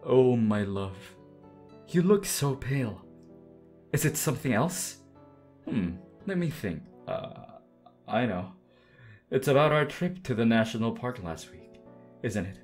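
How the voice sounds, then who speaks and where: A man's voice: medium pitch, animated, unhurried, long pauses, darkish tone, faint music behind, lightly acted. A man playing an undead demon husband in an ASMR roleplay, obsessively loving and unhinged, theatrical in manner. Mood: tender, coaxing. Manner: theatrical, swinging from tender murmurs to desperate demands. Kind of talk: ASMR roleplay audio acting.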